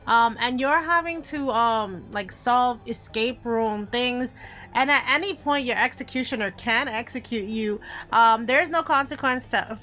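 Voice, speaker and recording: A woman's voice: fairly high pitch, fairly bright tone. A woman hosting a casual game list video, talking informally and conversationally with offhand asides. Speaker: video game YouTuber, host. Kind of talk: casual top-ten list commentary.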